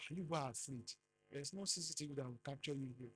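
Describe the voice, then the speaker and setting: Lively Nigerian man giving a talk. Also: medium pitch, fast talker. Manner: lively, rises loudly for emphasis, quizzes the audience. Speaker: conference speaker. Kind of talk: business seminar talk.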